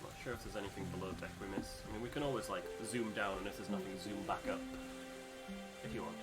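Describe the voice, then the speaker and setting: A man's voice: on the low side, steady tone, fast. A man giving casual gameplay commentary as co-host of a voiced playthrough. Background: music.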